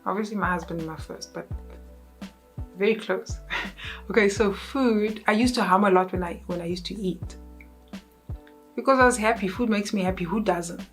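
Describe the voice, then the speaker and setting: A woman's voice: medium pitch, normal speed, pauses often, faint music behind. A woman in her thirties from South Africa with a South African accent, chatting casually to camera in an upbeat, self-correcting way. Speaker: YouTuber. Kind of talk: casual vlog chat.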